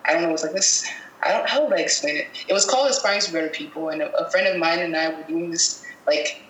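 A woman's voice: low-pitched, fast, fairly bright tone. A woman from Cameroon, a guest videographer, answering interview questions casually, thinking aloud and correcting herself as she goes. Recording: echoey room with faint noise.

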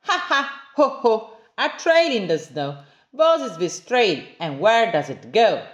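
A woman's voice: a little high, big pitch swings, takes it slowly, roomy sound, dull audio, voice pushed up. A woman voicing a curious, plucky young girl monster in a singsong, questioning storybook manner. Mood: playful, curious.

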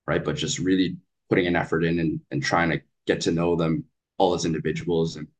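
A man's voice: deep voice, flat delivery, fairly quick. A man from British Columbia, Canada, a basketball coach talking earnestly as a podcast guest, with frequent fillers and restarts. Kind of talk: casual podcast interview. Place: Vietnam.

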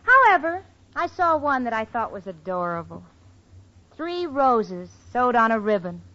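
A woman's voice: fairly high pitch, sing-song, a little slow, bright, forceful tone, full character voice. An American actress playing a sensible, wry young woman with a sarcastic manner in a 1940s radio comedy. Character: wisecracking, sensible young female roommate, wry. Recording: dull noisy old radio, audience behind.

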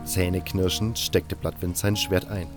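A man narrating a first-person fantasy audiobook in a calm, even storytelling manner. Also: sits low, measured pace, soft background music.

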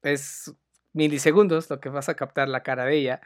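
A man with a Mexican accent, co-hosting a casual chat about a TV series, full of slang and trailing into low mutters. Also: fairly even intonation, normal speed. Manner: slangy, says "güey" a lot, drops to a mutter. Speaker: podcast co-host.

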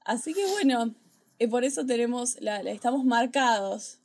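A woman with an Argentine Spanish accent and a slightly high voice chats on a podcast. She jokes with dry irony and laughs easily. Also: normal speed, darkish tone. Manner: jokey, ironic, laughs often. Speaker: podcast co-host. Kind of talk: casual chat.

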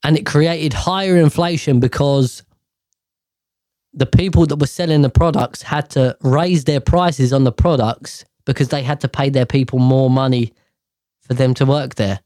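A man's voice: medium pitch, steady tone, moderate pace, a little mellow, no echo, clean studio sound. A man ranting casually to camera, profane and exasperated, rambling with sighs and restarts.

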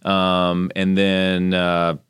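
Deep-voiced chatty Texan candidate. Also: male voice, completely flat delivery, extremely slow, fairly bright tone, clean tone, dry recording, clean studio sound. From Texas, United States. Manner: chatty, rambling, faith-focused storytelling. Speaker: congressional candidate, guest. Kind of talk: casual interview talk.

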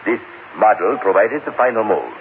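An actor playing a proud, guarded, cultured middle-aged sculptor who speaks formally and deliberately in an old-time radio drama. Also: male voice, on the low side, big pitch swings. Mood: proud, explanatory. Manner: formal, deliberate, stilted phrasing without contractions. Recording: tinny, noisy old radio broadcast.

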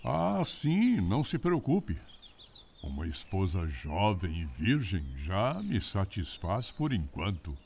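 An actor playing a boastful, greedy fifty-year-old landowner with a Brazilian accent and smug, formal old-fashioned speech in a radio drama. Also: male voice, low-pitched, very animated, takes it slowly, gravelly, darkish tone, slight background noise, full character voice. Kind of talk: radio soap opera acting.

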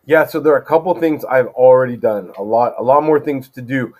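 A middle-aged man from California, a judge as podcast guest, answering in a thoughtful, measured way with frequent fillers. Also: medium pitch, a little mellow. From California, USA. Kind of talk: casual interview conversation.

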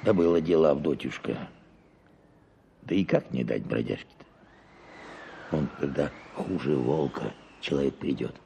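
Old watchman, humble, weary, rumbling bass, creaky gravel, folksy storytelling, radio play. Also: animated, a little slow, pauses often, mellow, exaggerated voice. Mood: aggrieved, resigned. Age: older. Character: weary old peasant forest watchman, lifelong bachelor, humble. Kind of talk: radio drama acting. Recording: clearly noisy radio play recording.

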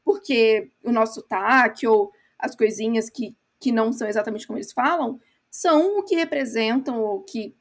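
A woman from Brazil talking casually in a slightly high voice. She thinks out loud in long, winding sentences and sounds reflective. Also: normal speed, few pauses. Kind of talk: casual chat.

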